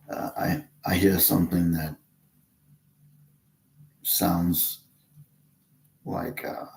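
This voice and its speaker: A man from Texas talking casually to camera in a halting way, with many restarts and pauses. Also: deep voice, vocal fry, darkish tone. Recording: roomy echo.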